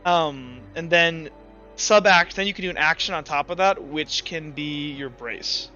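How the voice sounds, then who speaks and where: A man's voice: fairly high pitch, a little slow. A man speaking as game master in a casual, profane, informal way, narrating tabletop action and making rulings. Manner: profane, informal, animated storytelling. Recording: slightly dull, music playing underneath. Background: background music.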